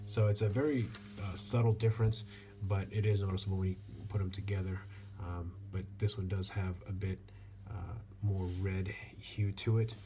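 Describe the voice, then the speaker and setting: A man giving a casual hands-on product review as a reviewer, speaking in a halting way with frequent pauses. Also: sits low. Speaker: watch reviewer. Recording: clear steady background noise.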